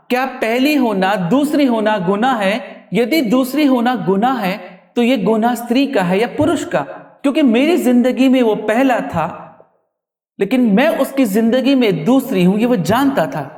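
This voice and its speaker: A man reciting his own poetry in a dramatic, emotive style, with deliberate delivery. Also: very high-pitched, a little mellow. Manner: emotive, deliberate, with repeated refrains. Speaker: poet reciting own work. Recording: roomy echo, distant microphone.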